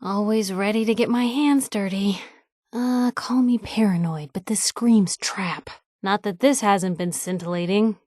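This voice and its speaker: A woman's voice: medium pitch, measured pace, darkish tone, dry recording, studio quality, close to an everyday voice. An actress playing a wry, plucky young woman reporter, an outspoken and loyal video game companion with a sardonic, conversational delivery. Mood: sardonic, dry.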